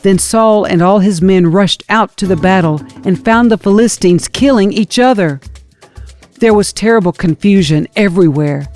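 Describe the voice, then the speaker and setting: A woman's voice: mid-range voice, normal speed, a little mellow, soft background music. A woman reading scripture as a scripted audiobook narrator, in a storytelling manner. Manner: storytelling, dramatizes quoted speech.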